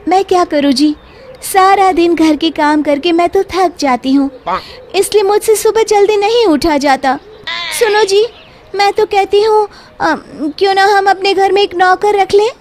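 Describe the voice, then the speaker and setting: A woman's voice: very high-pitched, unhurried, a little mellow, a little hiss or hum, voice pushed up. A woman voicing a young cartoon wife who speaks to her husband in a wheedling, coaxing manner. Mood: whiny coaxing. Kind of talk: Hindi moral-story cartoon dialogue.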